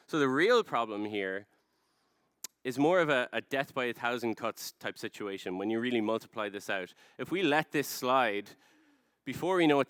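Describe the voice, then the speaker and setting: An Irish man in his thirties giving a rehearsed conference presentation, explaining things in a measured, storytelling way with emphasis. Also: mid-range voice, a bit bright, smooth, no room sound, clean studio sound. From Ireland. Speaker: conference speaker, product design director. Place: large dark stage, indoors.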